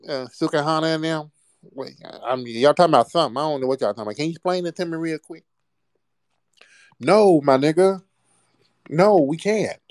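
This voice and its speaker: A man's voice: fairly high pitch, no room sound, clean studio sound. A man hosting a live audio room, talking bluntly and mocking callers over a phone mic.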